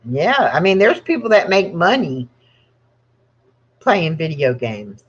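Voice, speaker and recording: A woman in her sixties talks casually on her own live channel, rambling from story to story and laughing often. Her voice sits a bit low, and the recording is dull and lo-fi. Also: sing-song, takes it slowly, husky. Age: 60s. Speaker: channel host. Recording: dull lo-fi sound.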